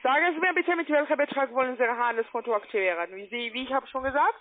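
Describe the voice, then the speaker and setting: A woman with a foreign accent, not a native speaker, making an insistent, repetitive phone sales pitch as an account adviser in broken grammar. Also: fast. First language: not German. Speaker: call-centre account adviser. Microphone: telephone. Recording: tinny band-limited phone line.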